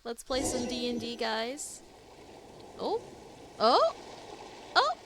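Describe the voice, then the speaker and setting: A young woman streaming a live reaction to a game, gushing with excitement as she plays. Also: fairly high pitch, slow, a little hiss or hum.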